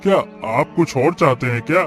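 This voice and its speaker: A man's voice: a little high, a little fast, a bit bright, lightly acted. An actor playing a humble, earnest working man in a cartoon story, speaking politely in a coaxing, pleading way. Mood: worried, deferential. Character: earnest humble male vegetable seller and eatery cook, pleading. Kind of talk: Hindi cartoon story voice acting. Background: background music.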